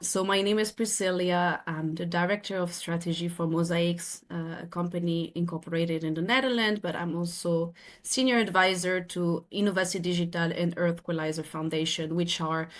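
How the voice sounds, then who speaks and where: A woman's voice: lowish voice, steady tone, normal speed, hardly any pauses. A woman from France with a French accent, in her thirties, earnestly explaining her work as a podcast guest, often restarting her phrases. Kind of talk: podcast interview.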